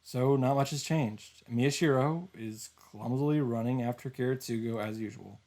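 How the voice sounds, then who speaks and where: A man's voice: medium pitch, normal speed, darkish tone. A man reading visual novel narration aloud as a streamer, with informal, casual reactions. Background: game music.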